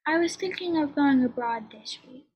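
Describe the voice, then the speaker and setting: A woman's voice: high-pitched, moderate pace, close to an everyday voice. An actress playing a reluctant, wry English lady of title in a radio play, dryly sardonic, in slightly dull sound. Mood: evasive, dry. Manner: dry, sardonic, evasive.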